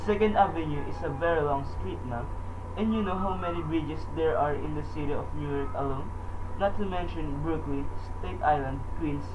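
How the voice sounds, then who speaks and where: Man acting a high-voiced, dismissive police sergeant. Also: moderate pace, natural voice. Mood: patronizing, dismissive. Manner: patronizing, matter-of-fact, placating. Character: unruffled, dismissive big-city police desk sergeant. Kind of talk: old-time radio drama acting. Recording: dull lo-fi audio with hiss.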